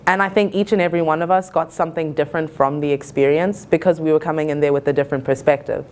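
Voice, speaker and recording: A woman's voice: low-pitched, steady tone, quick pace, darkish tone. A young woman in her early twenties from Zimbabwe, reflecting on her experiences as a college student in a thoughtful, earnest way. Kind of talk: reflective interview-style talk.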